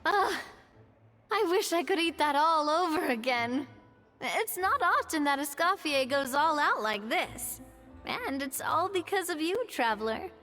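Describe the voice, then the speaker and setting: A cheery, lively young woman. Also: high voice, unhurried, full character voice. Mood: content. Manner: bright and upbeat. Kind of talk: video game dialogue. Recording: reverberant game audio with faint music.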